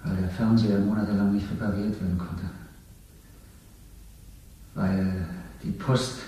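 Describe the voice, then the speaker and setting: An actor playing a worn-down stage actor under interrogation in a 1970s radio drama, defiant and bitter. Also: male voice, on the low side, little pitch movement, measured pace, dark tone, far from the mic, lightly acted. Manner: defiant, then bitter and weary. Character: worn-down male actor who once played a minister. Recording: echoey room, voice off-mic.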